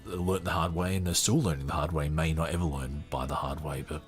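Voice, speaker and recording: A man hosting a podcast, giving casual off-the-cuff commentary in a halting way, often muttering asides to himself. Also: rumbling low, fairly even intonation, over music. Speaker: podcast host.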